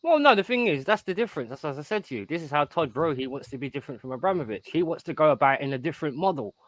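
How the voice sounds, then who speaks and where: Emphatic high-voiced man in his thirties. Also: expressive, rapid-fire, a bit bright, no echo. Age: 30s. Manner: emphatic, rambling, keeps repeating "right?". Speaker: guest on a live stream. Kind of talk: casual football chat.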